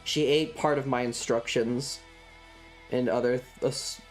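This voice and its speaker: A man's voice: medium pitch, steady tone, a little slow, faint music behind. A man telling a story casually on a livestream, rambling and swearing in an exasperated way, acting out bits of dialogue as he goes. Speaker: streamer.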